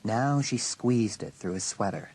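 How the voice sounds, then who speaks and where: Expressive male audiobook narrator. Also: fairly low pitch, measured pace, a little hiss or hum. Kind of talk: scripted story narration.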